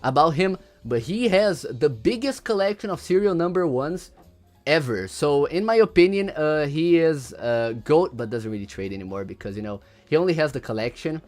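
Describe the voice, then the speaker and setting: Lively young man, Portuguese native speaker. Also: on the high side, moderate pace. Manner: rambling, repeats words, reads chat aloud. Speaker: livestreamer. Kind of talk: casual livestream commentary.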